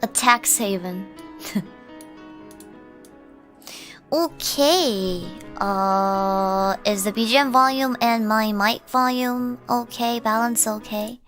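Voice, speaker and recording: Giggly woman streamer with a rough sick voice. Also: a little high, takes it slowly, pauses often, fairly bright tone, faint music behind. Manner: chatty and giggly, laughs often, rambles with fillers. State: slightly ill, voice unusually low and rough. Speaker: live streamer. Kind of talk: casual live-stream chat.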